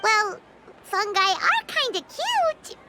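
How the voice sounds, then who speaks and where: Game casting: bubbly childlike fairy companion, extremely high, hugely animated, exclamatory. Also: female voice, takes it slowly, slight background noise, exaggerated voice. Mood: eager, helpful. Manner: exclamatory, expressive, speaks of self by name. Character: Paimon, small chirpy childlike fairy companion, bubbly and eager. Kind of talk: video game character dialogue. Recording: loud background music.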